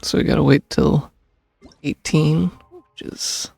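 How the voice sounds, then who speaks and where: Man, heavy vocal fry, raspy, mid pitch, casual gaming commentary, faint music underneath. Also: steady tone, takes it slowly, a little mellow, dry recording. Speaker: game streamer.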